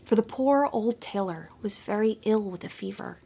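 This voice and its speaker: A woman narrating a storybook audio drama in a gentle, measured way. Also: mid-range voice, expressive, vocal fry, slightly rough.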